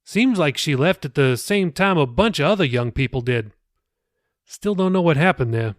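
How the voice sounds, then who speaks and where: A man voicing a kindly middle-aged pastor, chatty and gently teasing, with a hesitant, folksy manner in an audiobook reading. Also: fairly high pitch, lively intonation, moderate pace, no echo, clean studio sound, natural voice. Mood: affable.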